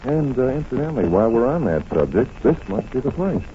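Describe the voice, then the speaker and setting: A man's voice: medium pitch, sing-song, quick pace, lightly acted. A man playing a genial, shrewd doctor detective, teasing and casually probing, in old radio drama style. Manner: hesitant, probing, polite. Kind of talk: 1940s radio acting. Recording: tinny, noisy vintage radio recording.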